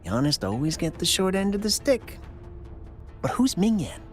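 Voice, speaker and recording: A woman's voice: on the low side, sing-song, moderate pace, soft background music. An actress playing a gossipy, sceptical townswoman in a video game, casual and opinionated. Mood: sceptical, curious. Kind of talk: video game bystander chatter.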